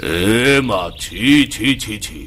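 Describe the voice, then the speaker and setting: Blustering, cowardly village exorcist. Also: male voice, on the low side, lively intonation, extremely slow, a bit bright, slight background noise, full character voice. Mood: terrified. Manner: bombastic chanting, theatrical. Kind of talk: animated cartoon voice acting.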